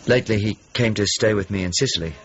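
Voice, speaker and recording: A man's voice: on the low side, fast, fairly bright tone. An actor playing an earnest, anxious painter who narrates his search for a friend in a radio drama, in clipped fragments. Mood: earnest, concerned. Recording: dull lo-fi radio drama, clearly noisy background.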